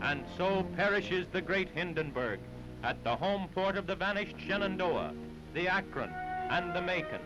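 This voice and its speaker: A man's voice: high voice, expressive, moderate pace. A man narrating a 1930s newsreel in a solemn, theatrical, declamatory way. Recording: dull 1930s film soundtrack with music. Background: music.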